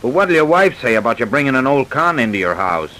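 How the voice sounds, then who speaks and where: An actor playing a humble, grateful long-time convict, deferential and plain-spoken, in vintage radio drama style. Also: male voice, mid-range voice, very animated, quick pace, bright, forceful tone, rough recording. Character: humble, grateful long-term convict, anxious and eager to please. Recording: hissy vintage radio recording.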